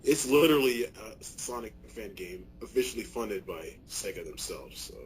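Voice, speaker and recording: A man's voice: on the low side, animated, normal speed, a little hiss or hum, rough recording. A young man co-hosting a podcast, chatting casually with crude, laughing banter. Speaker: podcast co-host. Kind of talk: casual chat.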